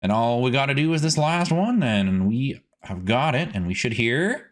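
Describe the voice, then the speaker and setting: Animated man chatting through gameplay. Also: mid-range voice, normal speed, a little hiss or hum. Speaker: host, streaming gamer. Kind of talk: casual gameplay commentary.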